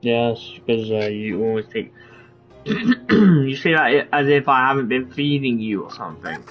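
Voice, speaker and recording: A man's voice: medium pitch, very animated, measured pace, fairly bright tone. A man doing casual gameplay commentary, reading dialogue aloud and muttering as he trails off. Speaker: game streamer reading dialogue along. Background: game music.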